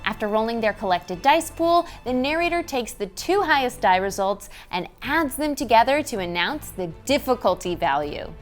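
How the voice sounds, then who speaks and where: Animated, higher-voiced woman presenting game rules. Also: normal speed, hardly any pauses. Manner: animated, clearly enunciated presenter delivery. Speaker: tabletop game show host explaining rules. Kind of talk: scripted instructional narration. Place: dressed indoor studio set. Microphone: clip-on lapel mic. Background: background music.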